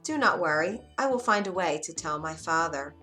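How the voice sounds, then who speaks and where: A woman voicing a kind, earnest young prince in a storybook, gentle and reassuring. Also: lowish voice, expressive, normal speed, soft background music.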